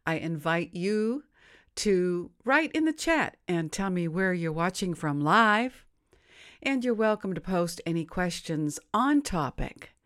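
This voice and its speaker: Slow, smooth-voiced woman hosting a stream. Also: lowish voice, lively intonation, a bit bright. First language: English. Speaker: host of a live-streamed devotional show. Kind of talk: slow, deliberate teaching and reading aloud.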